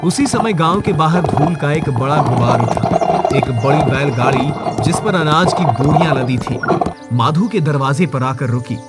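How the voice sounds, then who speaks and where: A man's voice: fairly high pitch, a little slow, over loud music. A man reading a scripted moral story as its narrator, in a dramatic storytelling style.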